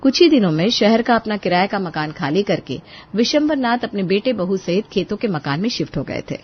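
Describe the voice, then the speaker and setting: A woman's voice: medium pitch, lively intonation, brisk, husky, muffled recording. A woman narrating a moral story in a scripted storytelling style.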